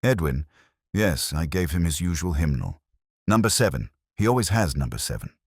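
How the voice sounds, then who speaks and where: A man's voice: deep voice, animated, moderate pace, darkish tone, breathy edge, no room sound, studio quality, full character voice. A man voicing a dignified, prim older unmarried woman in a mystery story, speaking formally.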